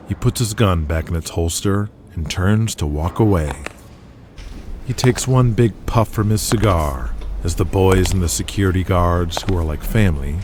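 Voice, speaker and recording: A man's voice: sits low, a little mellow, a little hiss or hum. A man narrating a scripted audio drama in a dramatic storytelling style.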